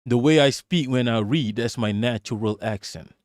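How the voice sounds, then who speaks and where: A man's voice: mid-range voice, normal speed, dry recording. Man, put-on American accent, livestream commentator, emphatic, profane, repeats words, dry close sound. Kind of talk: reading aloud mixed with ranting commentary.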